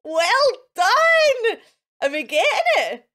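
A Scottish woman plays fairy host for young children. Her voice sits high and swoops widely, at a slow, deliberate pace. She coaxes with questions and praises in an exaggerated sing-song way. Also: huge pitch swings, fairly bright tone. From Scotland. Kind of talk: playful children's presenting to camera. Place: indoors in front of a fairy backdrop.

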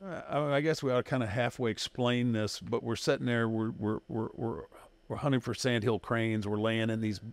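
A man in his thirties co-hosting a podcast, retelling a story in an excitable, animated way at a desk mic in a dark studio. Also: medium pitch, normal speed, no echo. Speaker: podcast co-host. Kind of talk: casual chat.

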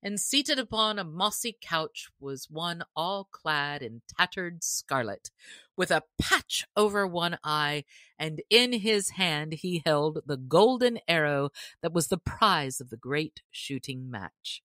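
A woman's voice: deep voice, expressive, slow, bright, forceful tone, no echo. A woman narrating a classic storybook as an audiobook reader, in a scripted style.

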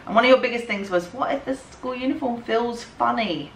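A woman's voice: a little high, brisk. A woman in her thirties with an English accent, chatting casually and affectionately with her children as a family vlogger. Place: family home, rooms and garden. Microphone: camera microphone. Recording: noisy handheld home camera audio.

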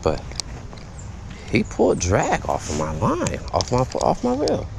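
Guy doing a casual fishing vlog outside, kinda slow talker, repeats stuff, noisy action cam audio. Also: medium pitch, huge pitch swings, creaky. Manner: informal, repeats phrases, talks to himself. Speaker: fishing vlogger. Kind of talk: casual solo vlog chat while fishing. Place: outdoors in a backyard beside a small pond. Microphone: body-worn action camera.

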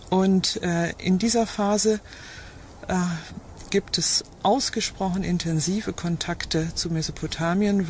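A woman explaining as an expert in an unscripted interview, hesitant and pausing often as she goes. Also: fairly low pitch, darkish tone. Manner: hesitant, halting with pauses. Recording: faint ambient noise on location. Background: faint ambient noise.

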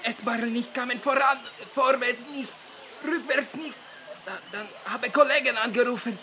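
A very high-voiced, flustered ship captain in a children's audio drama. He stammers in broken, halting phrases, over clear background noise. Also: pushed and bright. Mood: anxious, flustered.

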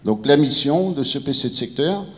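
A man in his fifties or sixties gives a formal but halting presentation as an official, searching for words, on a handheld microphone. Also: a little high, expressive. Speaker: civil protection director presenting at a conference. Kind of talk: formal public presentation, semi-improvised. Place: large indoor conference room with seated audience. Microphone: handheld microphone held near the mouth. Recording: reverberant hall sound over handheld microphone.

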